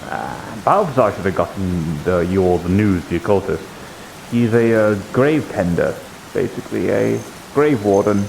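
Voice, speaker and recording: A man playing a cautious, reserved, learned character in an improvised fantasy roleplay, gravelly, with a measured, hesitant delivery. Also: low-pitched, lively intonation, darkish tone, slight background noise, low-quality recording. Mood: thoughtful, explaining.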